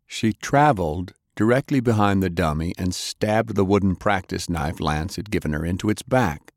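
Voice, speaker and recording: A man's voice: deep voice, normal speed, dry recording, studio quality. A man reading an adventure novel aloud as scripted audiobook narration.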